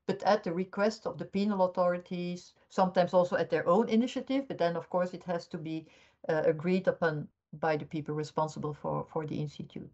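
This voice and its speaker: Older Belgian-raised woman academic, lower pitch, measured explainer, muffled lo-fi recording. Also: fairly even intonation, a little mellow. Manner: measured, explanatory, frequent restarts. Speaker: criminology professor, guest. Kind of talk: academic interview answers. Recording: dull lo-fi audio.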